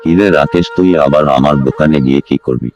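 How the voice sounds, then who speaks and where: A man's voice: extremely low pitch, a little fast, highs cut off. A man voicing a blunt older village shopkeeper in a cartoon. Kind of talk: cartoon voice acting.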